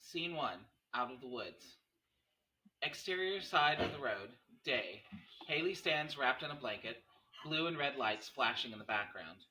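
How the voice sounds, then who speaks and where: A man's voice: medium pitch, lively intonation, unhurried, sharp tone, rough recording. A man reading stage directions aloud as the narrator of a table read.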